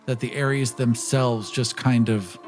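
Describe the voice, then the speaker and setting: Male podcast panelist, middle pitch, reflective casual talk, faint music bed. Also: steady tone, unhurried, a little mellow.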